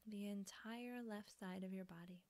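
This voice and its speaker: A woman softly guiding a yoga relaxation meditation in a breathy, soothing manner with pauses. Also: medium pitch. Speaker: yoga nidra teacher.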